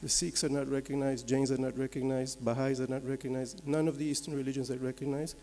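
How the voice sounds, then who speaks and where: A man from Belgium, giving a measured, explanatory slide presentation as a conference speaker, point by point. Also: medium pitch, little pitch movement, warm tone. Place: large conference hall. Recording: echoey hall with long reverb.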